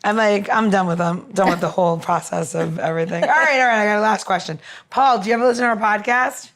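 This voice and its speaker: A woman's voice: medium pitch, quick pace, fairly bright tone. A woman co-hosting a podcast in casual chat, with an informal, sweary way of talking. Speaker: podcast co-host and cocktail expert.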